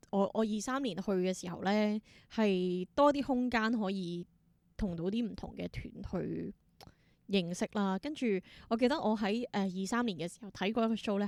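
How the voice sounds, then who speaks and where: Hong kong cantonese woman chatting about her work, thinks out loud, dry close recording. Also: medium pitch, normal speed, no echo. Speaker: interview guest, independent theatre performer. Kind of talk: casual interview storytelling.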